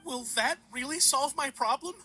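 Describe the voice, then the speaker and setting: A woman's voice: high voice, moderate pace, sharp tone, faint music behind. A woman voicing an anxious young townswoman who believes she is cursed, earnest and eager, in a video game.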